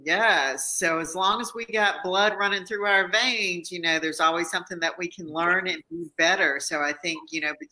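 A woman's voice: fairly low pitch, steady tone, normal speed, hardly any pauses, bright, forceful tone, rough recording. A woman hosting a podcast, asking her guest questions in an encouraging way. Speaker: podcast host. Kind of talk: casual interview chat.

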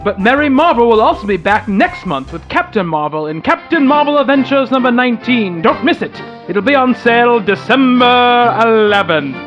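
A man's voice: extremely high pitch, big pitch swings, normal speed, few pauses, fairly bright tone, lo-fi sound, soft background music. A man narrating an amateur radio theatre piece in a dramatic, scripted style.

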